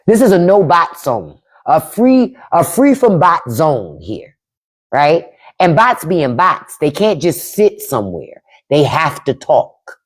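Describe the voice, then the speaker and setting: Woman, blunt and profane, livestream host, very lively pitch, lowish voice. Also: slow. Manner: blunt, profane, emphatic, reads and answers chat. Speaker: podcast host on a live stream. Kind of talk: casual livestream commentary.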